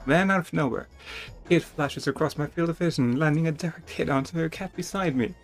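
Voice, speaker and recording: A man with a fairly high voice gives a dramatic reading of a text adventure game. He is theatrical but informal, rereading when he trips up, and music plays behind him. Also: a little fast. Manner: theatrical, stumbles and rereads lines. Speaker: gamer reading a game's text aloud. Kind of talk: dramatic narration of a text game with casual asides.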